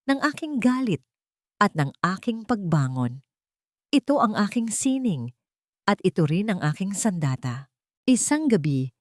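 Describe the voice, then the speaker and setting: Low-voiced Filipino woman narrating a drama. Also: darkish tone, no room sound, studio quality. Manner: measured, earnest storytelling. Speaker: audiobook narrator. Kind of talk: first-person dramatic story narration.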